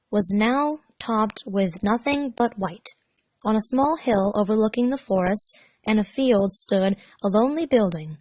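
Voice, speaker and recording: A woman reading a scripted story aloud as narrator, measured and expressive. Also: medium pitch, dull audio. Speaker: fan fiction narrator.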